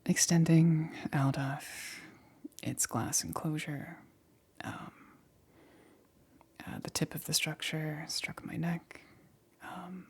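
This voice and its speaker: Breathy, halting woman acting a shaken lab scientist. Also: sits low, a little mellow, heavily acted. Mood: uneasy, clinical. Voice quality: breathy, whispery. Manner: halting, hesitant, stammering. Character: shaken young female lab scientist, awkward and reassuring. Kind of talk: ASMR roleplay, whispered acting.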